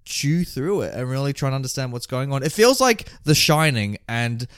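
A man's voice: mid-range voice, animated, normal speed, clean tone, dry recording, clean studio sound. A man hosting a film podcast, chatting casually in an opinionated, sweary, joking way. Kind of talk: casual film review chat.

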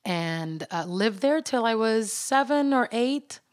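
A woman's voice: on the high side, takes it slowly. Chatty woman, laughs easily. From born in the Netherlands, raised in Suriname and Venezuela. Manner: animated, laughs often, trails off and restarts. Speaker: podcast guest. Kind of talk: casual chat.

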